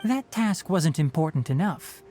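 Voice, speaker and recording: A man's voice: on the high side, expressive, measured pace, warm tone, faint music behind. A man playing a young, knowledgeable forest ranger in a video game, instructive and slightly wry. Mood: firm. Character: young male forest ranger, knowledgeable, dry, gently strict. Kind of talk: video game character acting.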